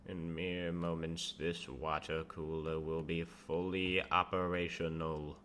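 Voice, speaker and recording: Brief: young man, very deep voice, rambling live-stream chat, faint typing behind. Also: little pitch movement, slow pace, a little hiss or hum. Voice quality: says he has a loud voice. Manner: rambling, answers chat questions, self-amused. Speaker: game developer streamer. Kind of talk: casual live-stream chat while working.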